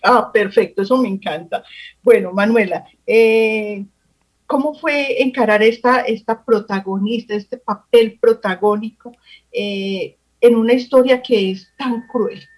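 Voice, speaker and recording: A woman's voice: on the high side, measured pace, slightly rough. A woman with a Colombian accent, speaking effusively as co-host of a radio interview. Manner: effusive, complimentary. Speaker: co-host, professor. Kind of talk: radio interview, warm introductions.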